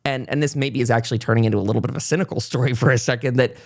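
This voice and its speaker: A man's voice: mid-range voice, very fast, creaky. A man hosting a casual interview podcast, talking in a rambling, reflective way as he thinks aloud. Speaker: podcast host and content creator.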